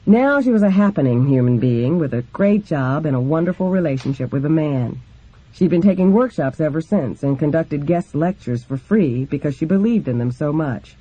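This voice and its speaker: Male book reader, fairly high voice, soft-edged and dark in tone, narrating a memoir from a script. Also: animated, moderate pace, breathy edge, dull audio.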